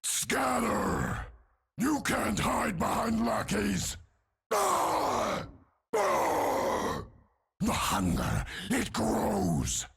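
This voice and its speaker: A hulking undead brute from a video game, slow and menacing, with a very gravelly, husky growl. He is enraged and bloodthirsty, and his delivery swings wildly from low threats to roars. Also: huge pitch swings, fairly bright tone, exaggerated voice. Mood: roaring bloodlust. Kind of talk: video game character voice lines.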